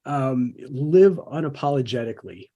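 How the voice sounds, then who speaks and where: A man's voice: medium pitch, moderate pace, dark tone. Reflective man hosting a podcast. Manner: reflective, stammers and repeats words. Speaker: podcast host. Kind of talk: conversational podcast interview.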